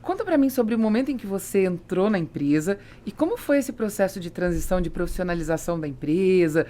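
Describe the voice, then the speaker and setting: A woman's voice: lowish voice, animated, quick pace, dark tone. A woman with a Brazilian accent hosting a conversational business interview. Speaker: podcast host interviewing a guest.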